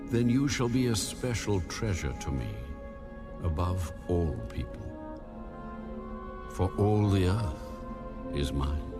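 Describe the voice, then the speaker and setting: A man's voice: low-pitched, exaggerated voice. An actor playing God as a solemn, commanding male deity in a dramatized scripture audiobook. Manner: slow, solemn, declarative. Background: music.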